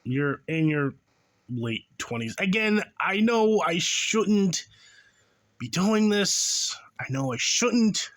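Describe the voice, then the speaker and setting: A young man in his twenties talks over a clip in a sarcastic, exasperated way, with a voice pitched a little above most men's. He mocks the clip's speaker in a drawn-out, ridiculing commentary. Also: animated, a bit bright. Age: 20s. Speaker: reaction video host.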